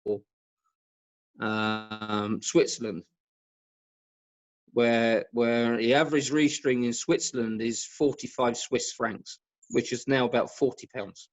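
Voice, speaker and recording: A man speaking as an expert guest in an informal discussion on a video call, halting and repeating words for emphasis. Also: medium pitch, fairly even intonation, soft background music. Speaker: guest expert, professional badminton racket stringer. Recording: dull lo-fi video call audio.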